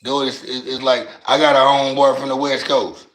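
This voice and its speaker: A middle-aged man with a raspy voice and a Louisiana accent, chatting on his livestream in slangy, rambling talk and often tripping over his words. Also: medium pitch, level tone, fairly quick, fairly bright tone, roomy sound, low-quality recording. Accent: Louisiana Southern US. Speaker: livestream host. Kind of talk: casual livestream chat.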